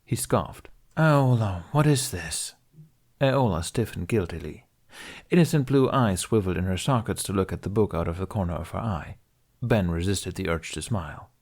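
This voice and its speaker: A man's voice: deep voice, a little fast, a little mellow. A man reading a scripted science fiction story aloud as an audiobook narrator. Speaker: audiobook reader.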